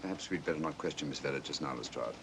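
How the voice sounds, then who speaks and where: A man with a British accent, acting a cool, astute gentleman detective with clipped, dry assurance in a vintage mystery film. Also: expressive, racing, full character voice. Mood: cool, discreet. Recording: vintage film soundtrack, noisy train rumble.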